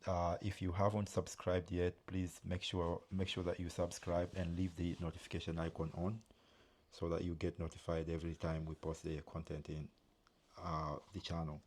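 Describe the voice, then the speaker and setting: Mellow low-voiced male channel host. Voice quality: many fillers and restarts, non-native phrasing. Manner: loud and high-pitched when speaking outdoors. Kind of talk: casual vlog interview.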